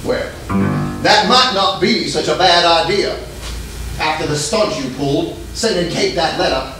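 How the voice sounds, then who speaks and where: An actor playing an earnest, stubborn show cowboy in a stage melodrama, plain-spoken and folksy. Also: male voice, on the high side, a little slow, lightly acted. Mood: dry. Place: theater stage, recorded from a distance. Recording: echoey stage recording from far off, music.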